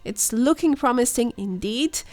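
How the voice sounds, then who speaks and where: A young woman narrates gaming news over her footage. Her voice sits a little high but sounds hoarse and worn, as if she is unwell. She speaks in a measured way, explaining and speculating as she goes. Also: lively intonation, a little mellow. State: hoarse, voice weakened by illness. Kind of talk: scripted voice-over commentary.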